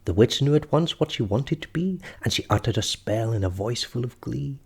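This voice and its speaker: A man's voice: mid-range voice, lively intonation, normal speed, creaky, a little mellow, husky. A man reading a children's story aloud expressively, as storyteller and host.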